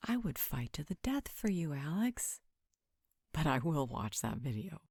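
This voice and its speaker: A woman's voice: low voice, very animated, unhurried, darkish tone, breathy edge, no room sound, exaggerated voice. A woman playing a teasing, playful mother figure in a supernatural roleplay audio drama. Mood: amused, teasing.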